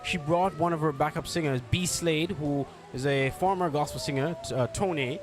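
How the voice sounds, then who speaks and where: Higher-pitched man reporting over concert music. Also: moderate pace. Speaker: field reporter. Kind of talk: unscripted on-location news reporting. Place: at a live music festival at night. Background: festival concert music.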